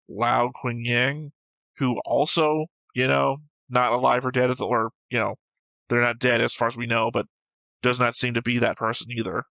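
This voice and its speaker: Male reaction-show co-host, bright, gravelly edge, even delivery, dry teasing banter, close dry sound. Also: mid-range voice, dry recording. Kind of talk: casual unscripted banter and commentary.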